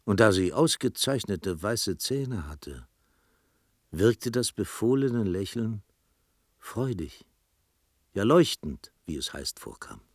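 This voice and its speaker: Literary storyteller: deep, dry close recording, measured, reflective radio play narration. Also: male voice, sing-song, no room sound, full character voice. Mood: storytelling. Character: reflective male storyteller recounting his own tale.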